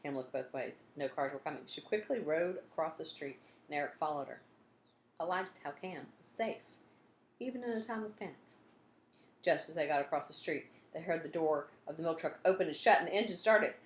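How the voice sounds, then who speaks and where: A woman reading a children's storybook aloud in an expressive way, acting out the story and adding asides like a teacher. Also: low-pitched, a little fast. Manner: expressive, acts out dialogue and sound effects. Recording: roomy echo, some background noise.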